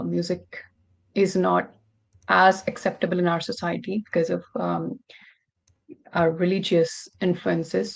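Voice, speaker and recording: A woman's voice: on the low side, vocal fry, darkish tone. A young woman from Pakistan, a podcast guest telling her story in an earnest, reflective way. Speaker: guest musician. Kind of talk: podcast interview.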